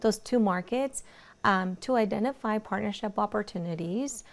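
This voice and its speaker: A woman in her thirties from Mexico, a native Spanish speaker with a Mexican accent, talking haltingly as a guest in an interview and often murmuring agreement. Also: medium pitch. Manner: halting, with frequent "mm-hmm" backchannels. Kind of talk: interview conversation.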